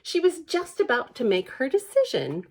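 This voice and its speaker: A woman reading a picture book aloud for a library storytime, expressive, with questioning asides to young listeners. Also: high-pitched, normal speed, slightly rough. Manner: expressive, questioning, sing-song emphasis.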